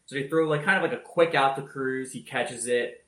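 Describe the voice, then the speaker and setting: A man telling football highlights in a casual podcast chat, energetic and loud-voiced. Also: normal speed, reverberant. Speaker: podcast co-host. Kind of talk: casual sports recap.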